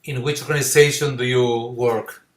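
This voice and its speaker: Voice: mid-range voice, steady tone, moderate pace, reverberant. An interviewer who asks questions in short, broken phrases. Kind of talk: conversational interview.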